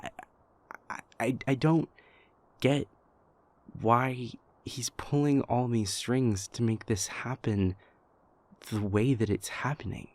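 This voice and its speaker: A man playing an anxious, exasperated young vampire prince in an intimate audio roleplay, speaking in a halting, stammering way. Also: mid-range voice, steady tone, dry recording. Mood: exasperated, baffled. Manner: halting, stammering, venting, sighing pauses.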